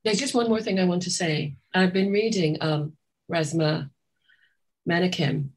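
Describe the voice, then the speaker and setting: Woman, low-pitched, husky, reflective, restarts phrases, psychotherapist guest. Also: fairly even intonation, measured pace. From Trinidad. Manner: thoughtful, with many restarts and fillers. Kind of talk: reflective interview talk.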